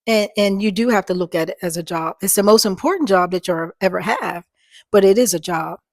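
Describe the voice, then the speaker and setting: A woman in her fifties with a soft voice that doesn't carry, talking to her viewers in a rambling, earnest way through a small microphone, her voice sounding worn. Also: mid-range voice, brisk, no echo, studio quality. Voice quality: soft voice that does not carry. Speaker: vlogger. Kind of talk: casual talk to viewers.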